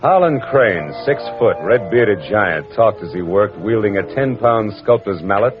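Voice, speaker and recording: Wry, tough gumshoe narrator, deep register, deadpan delivery, vintage dull radio sound. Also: male voice, unhurried, music in the background. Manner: deadpan, sardonic. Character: wry, tough male private detective narrating his case. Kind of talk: 1940s radio detective acting, scripted first-person narration.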